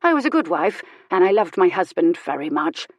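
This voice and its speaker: A woman voicing a sharp-tongued, dying elderly noblewoman with a wry, imperious manner. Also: on the low side, sing-song, a little fast, dry recording. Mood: confiding. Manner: tart, teasing, grandly authoritative.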